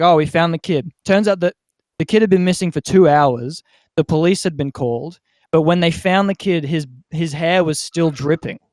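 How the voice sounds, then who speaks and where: A man hosting a podcast, telling stories in an animated, casual way and often checking in with his listeners. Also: a little high, dry recording. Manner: animated storyteller who often checks in with "right?". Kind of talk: casual storytelling chat.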